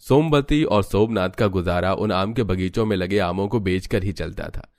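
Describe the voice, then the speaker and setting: A man narrating an animated family story in a steady, even storytelling delivery. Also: low-pitched, moderate pace. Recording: music under the voice.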